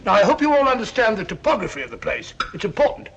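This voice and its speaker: An actor playing a jovial, bumbling older British gentleman telling tales in a hesitant, self-important way, in 1940s film style. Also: sits high, sing-song, fast talker, husky, muffled recording, exaggerated voice. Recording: noisy old film soundtrack.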